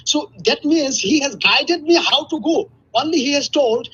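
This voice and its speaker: A man from Bangladesh with a Bangladeshi accent, debating as a caller in a halting, repetitive way, pleading to explain himself. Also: very high-pitched, expressive, a bit bright. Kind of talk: live religious debate over a call. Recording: muffled, lo-fi internet call audio.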